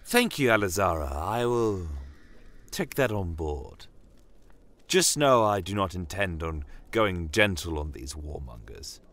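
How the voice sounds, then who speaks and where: A man voicing a shrewd, courteous non-human commander in a fantasy audio drama, measured and politely menacing. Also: deep voice, sing-song, a bit bright, heavily acted. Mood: stern, measured.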